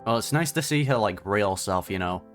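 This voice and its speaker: A man's voice: on the low side, expressive, normal speed, a bit bright, faint music behind. A man giving casual reaction commentary on a video game, conversational and sympathetic in manner. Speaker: gaming reaction streamer.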